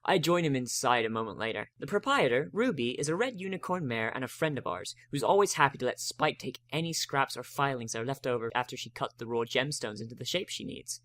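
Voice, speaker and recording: A man's voice: medium pitch, lively intonation, brisk, hardly any pauses, a bit bright, no echo. A man giving a dramatic first-person reading of a story as narrator.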